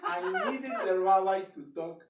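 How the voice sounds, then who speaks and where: A man with a non-native English accent leading a synagogue service, joking with the congregation in a halting, playful way. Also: high-pitched, very animated, gravelly, dull audio, noisy background. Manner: halting, playful, self-interrupting, sings and chants. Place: synagogue sanctuary. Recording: roomy, distant mic, crowd audible.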